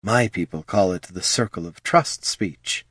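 A man voicing a proud, sharp-tongued female assassin who speaks insistently, in an audiobook. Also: sits low, measured pace, slightly rough, no echo, studio quality, heavily acted. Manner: insistent, snappish.

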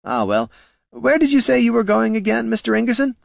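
A man's voice: very high voice, huge pitch swings, fairly quick, vocal fry, no echo, studio quality, pitched above the performer's own voice, exaggerated voice. A man voicing a sly, smug male gnome who talks with oily, mock-polite courtesy.

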